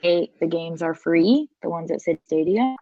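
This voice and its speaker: A woman's voice: on the low side, lively intonation, moderate pace, mellow, no room sound. A woman chatting informally and emphatically as a panelist on a sports podcast. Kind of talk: casual sports podcast chat. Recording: dull, dry, lo-fi audio.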